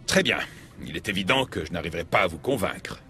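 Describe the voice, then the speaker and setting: A man's voice: sits low, animated, fast, bright, forceful tone, faint music behind. An actor playing a suave, formal and cautious businessman in a video game, evasive in his manner. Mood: cautious, courteous. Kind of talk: video game dub dialogue.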